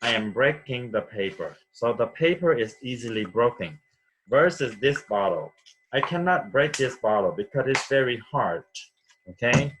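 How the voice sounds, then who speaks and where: A man's voice: medium pitch, expressive, moderate pace, a bit bright, slight background noise, rough recording. A man from Vietnam teaching vocabulary patiently, repeating words and checking with "okay?". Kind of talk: classroom vocabulary lesson.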